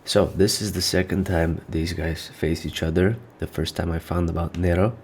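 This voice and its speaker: A man's voice: low-pitched, fairly even intonation, normal speed, a little hiss or hum. A man hosting a casual reaction video, nasal and stuffed up from allergies, rambling as he thinks aloud. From North Macedonia.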